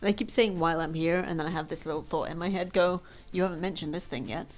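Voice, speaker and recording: Young woman, lowish voice, casual rambling stream chat, self-deprecating, faint background music. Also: quick pace.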